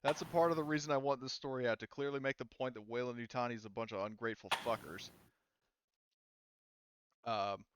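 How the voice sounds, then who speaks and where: A man running a tabletop roleplaying game, explaining things in a halting, casual, conversational way. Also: medium pitch, steady tone, noisy background. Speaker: game master.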